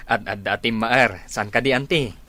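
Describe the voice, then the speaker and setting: A man's voice: mid-range voice, normal speed, pushed and bright. A man playing an inquisitive, chummy young friend in an Ilocano comedy radio drama.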